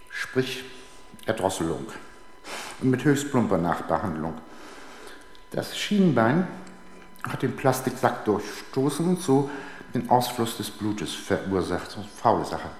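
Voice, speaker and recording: A reverberant, echoey room carries the voice of an unfazed forensic pathologist in a radio crime drama. He is middling in pitch, chatty and pedantic, dropping asides in a matter-of-fact way. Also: a little hiss or hum. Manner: hesitant, pedantic asides delivered matter-of-factly. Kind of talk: 1970s German radio crime drama acting.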